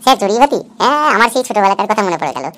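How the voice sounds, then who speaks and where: A woman's voice: mid-range voice, expressive, very fast, fairly bright tone, lightly acted. A woman voicing a cheeky young schoolboy in a comedy cartoon, glib and pleading with excuses. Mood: confidently silly. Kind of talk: Bengali comedy cartoon dub.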